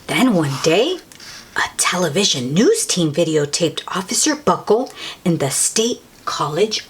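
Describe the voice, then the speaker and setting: A woman's voice: fairly high pitch, fairly bright tone, a little hiss or hum. A woman reading a children's picture book aloud, with exclamations and chatty asides to young listeners. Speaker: storybook read-aloud host.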